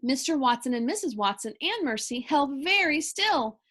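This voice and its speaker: A woman reading a children's story aloud with expressive narration. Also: high-pitched, normal speed.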